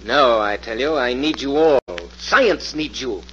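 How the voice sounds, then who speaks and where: An actor playing a cruel, commanding, megalomaniac professor in a pompous, declamatory 1940s radio thriller style. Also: male voice, mid-range voice, a little slow, pushed and bright. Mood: grandiose menace. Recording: muffled, noisy old radio recording.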